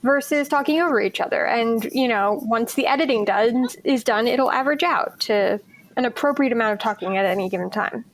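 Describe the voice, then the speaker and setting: A woman's voice: fairly high pitch, fairly quick, slight background noise. A woman talking casually as a guest in an interview. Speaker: cast member interviewee. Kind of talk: casual chat.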